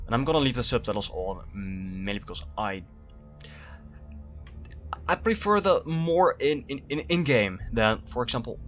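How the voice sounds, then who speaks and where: Low-voiced man streaming a game. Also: fairly bright tone. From Netherlands. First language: Dutch. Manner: chatty, rambling with restarts. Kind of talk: live gameplay commentary. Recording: dull lo-fi stream audio.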